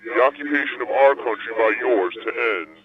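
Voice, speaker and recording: A man's voice: medium pitch, crowd noise, pitched below the performer's own voice. A man doing a masked captor through a tinny filter. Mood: menacing calm. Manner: slow, calm, threatening. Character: masked male captor, coldly menacing. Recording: tinny phone-like filtered audio.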